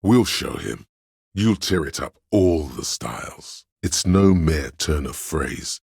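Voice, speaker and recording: An actor voicing a pompous, art-obsessed inner voice in a video game, narrating in a literary, deliberate and theatrical way with a husky, gravelly tone. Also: male voice, very deep voice, lively intonation, darkish tone, no room sound, clean studio sound, full character voice. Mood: observing. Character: pretentious art-obsessed inner voice, male, musing and cutting. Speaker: narrator of a character's inner skill voice.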